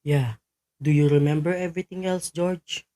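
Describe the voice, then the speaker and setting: A man's voice: a little high, steady tone, moderate pace, mellow, close to an everyday voice. A man playing a methodical criminal go-between in a radio play, giving instructions in a businesslike way. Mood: businesslike, secretive.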